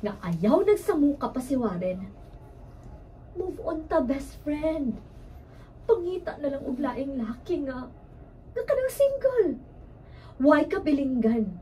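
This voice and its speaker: Woman acting high, mellow-voiced Cebuano best friend. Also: sing-song, slow, pauses often, distant mic, heavily acted, rough recording. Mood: pleading, exasperated. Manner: earnest, reasoning, admonishing. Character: concerned, admonishing female best friend, firm and pleading. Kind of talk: Cebuano radio drama acting.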